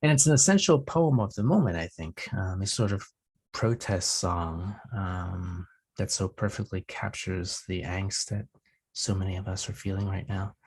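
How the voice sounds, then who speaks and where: A man with a lowish voice, husky and full of creak. He hosts a poetry interview conversationally, effusive and halting as he goes. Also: a little mellow. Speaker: poetry show host.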